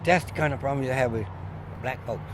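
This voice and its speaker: A middle-aged man from Barbados, a former barber, telling stories in an animated, emphatic way during a casual outdoor interview by a street. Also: medium pitch, quick pace. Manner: animated storyteller, loud emphatic bursts. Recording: faint street noise outdoors.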